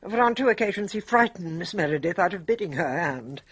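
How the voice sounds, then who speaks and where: A composed, formal English lady with a British accent in a radio play. Her husky voice moves expressively through precise, self-possessed phrasing. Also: on the low side, expressive, a little fast. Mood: matter-of-fact. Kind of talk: radio drama acting.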